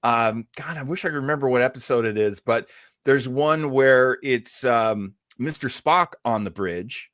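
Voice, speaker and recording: A man's voice: medium pitch, unhurried, a bit bright, no echo, studio quality. A man hosting a casual talk show discussion, emphatic and given to repeating phrases to make his point. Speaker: podcast host.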